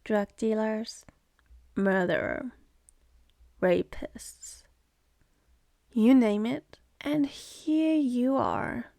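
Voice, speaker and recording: Woman acting a creaky, slow-drawling sardonic demoness. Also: a little high, mellow, no echo, studio quality, heavily acted. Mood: scornful. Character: sardonic, seductive, contemptuous female demon. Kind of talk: ASMR roleplay monologue. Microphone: close mic.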